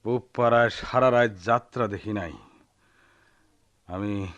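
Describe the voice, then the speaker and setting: A man's voice: medium pitch, fairly even intonation, measured pace, fairly bright tone, dry recording, muffled recording, close to an everyday voice. An actor playing an impulsive, lovestruck village man in a radio drama, with a rural Bangladeshi accent and an impassioned, insistent delivery. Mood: dreamy. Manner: impassioned, pleading, repeats himself. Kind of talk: Bengali radio drama acting.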